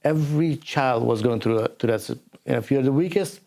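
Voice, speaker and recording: Gravelly man. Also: medium pitch, a little fast, a little mellow. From Afghanistan, later New Jersey. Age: 40s. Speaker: martial arts coach, interview guest. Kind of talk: casual interview answers.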